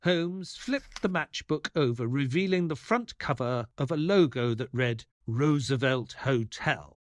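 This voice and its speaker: A man playing a detective's loyal assistant who narrates an audio drama in a measured storytelling manner. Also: medium pitch, animated, close to an everyday voice. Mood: suspenseful storytelling.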